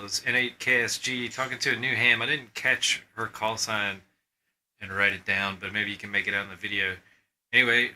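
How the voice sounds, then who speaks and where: Bright-voiced man, halting and casual. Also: on the low side, a little hiss or hum, low-quality recording. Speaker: amateur radio club member presenting a video. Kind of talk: casual informal presentation.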